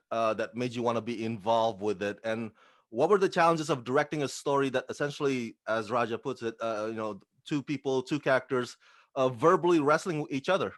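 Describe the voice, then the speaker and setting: A man working as an interviewer, putting prepared questions to his guests. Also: mid-range voice.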